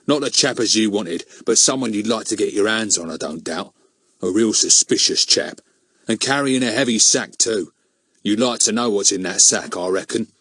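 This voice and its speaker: A man's voice: fairly low pitch, moderate pace, fairly bright tone, no echo. A man voicing a sly, gossipy country fellow who drawls in a broad rural dialect.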